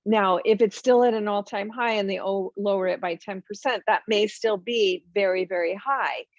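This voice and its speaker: A woman's voice: mid-range voice, fairly even intonation, normal speed, no echo, lo-fi sound. A woman hosting a finance webinar, talking informally and emphatically through numbered points with frequent ums and asides.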